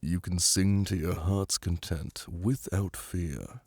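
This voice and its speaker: A man's voice: sits low, takes it slowly, breathy edge, no room sound, studio quality, exaggerated voice. A man playing a commanding, seductive demon prince in an ASMR fantasy roleplay, speaking in a silky, formal and flirtatious way. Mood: possessive, seductive. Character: commanding, seductive male demon prince, doting yet imperious.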